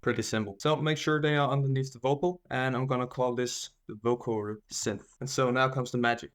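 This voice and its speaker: A man casually narrating a music production tutorial as host, explaining things step by step. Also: medium pitch. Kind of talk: casual screen-recorded software tutorial narration. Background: synth music and vocal effect demos.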